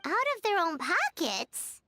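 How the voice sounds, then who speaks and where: A woman voicing a small, excitable floating fairy companion in a video game, eager in manner. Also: very high voice, unhurried, soft background music, full character voice. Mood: eager, curious. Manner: refers to self in third person, eager.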